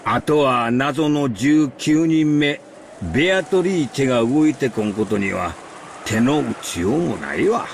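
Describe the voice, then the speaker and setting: A man's voice: medium pitch, lively intonation, fairly quick, gravelly, slight background noise. A male actor playing a hearty, blustery middle-aged family man with a Kansai accent in a Japanese visual novel. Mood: pensive. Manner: hearty, folksy, emphatic.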